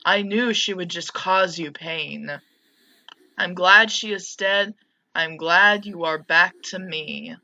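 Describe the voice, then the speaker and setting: A woman's voice: fairly low pitch, takes it slowly, sharp tone, highs cut off, exaggerated voice. A woman voicing a possessive, manipulative mother from a visual novel in a controlled, cloying manner. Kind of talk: visual novel dialogue read aloud.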